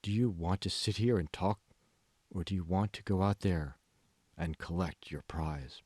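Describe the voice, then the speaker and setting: Man voicing a smug, low, measured AI assistant. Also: a little mellow, dry recording. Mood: coolly controlling. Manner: slow, condescending, coolly controlling. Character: smug, manipulative AI assistant speaking from a phone. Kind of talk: audiobook character voice.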